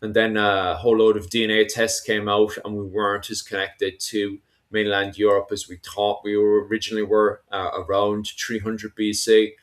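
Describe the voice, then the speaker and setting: A man's voice: on the low side, completely flat delivery, normal speed, few pauses. A man chatting casually about history, rambling with lots of fillers and restarts. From Ireland. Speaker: podcast host.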